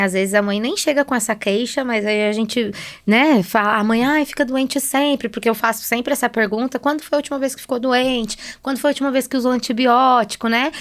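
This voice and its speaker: A woman with a Brazilian accent speaking as a guest nutritionist, explaining conversationally with frequent tag questions. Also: fairly high pitch. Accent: Brazilian Portuguese.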